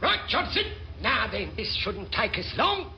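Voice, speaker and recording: An actor playing a scheming, beer-loving chief petty officer in a radio sitcom, wheedling and blustering, on a noisy old recording. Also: male voice, high voice, sing-song, a little slow, gravelly, highs cut off, soft background music, full character voice. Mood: bossy coaxing.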